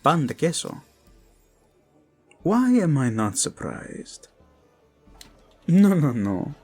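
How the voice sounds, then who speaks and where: An actor playing a protective, lovesick king in a romantic audio drama, speaking tenderly and haltingly with a Spanish accent. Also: on the high side, very animated, darkish tone, slightly rough, faint music behind, exaggerated voice. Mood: warm, curious. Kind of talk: intimate romantic audio drama acting addressed to listener.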